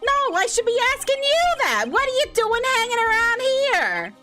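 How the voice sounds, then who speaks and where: A woman voicing a gruff, blustering male police detective who keeps calling people pal. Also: very high voice, wildly swooping pitch, normal speed, over loud music, voice pushed up, heavily acted. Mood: indignant.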